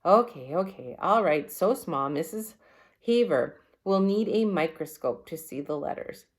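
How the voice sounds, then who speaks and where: A cheeky, easygoing storybook schoolboy, played by a woman reader who would rather skip the work. The lines come out casual and protesting, with lively intonation. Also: fairly low pitch, measured pace. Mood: grumbling.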